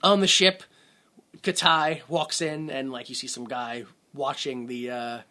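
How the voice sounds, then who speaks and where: Male podcast co-host, bright edge to the voice, loose and animated, laughs through his retelling. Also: mid-range voice. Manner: animated, swings between quiet mutters and loud outbursts; laughs often, acts out characters in quick asides. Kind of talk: casual movie recap chat.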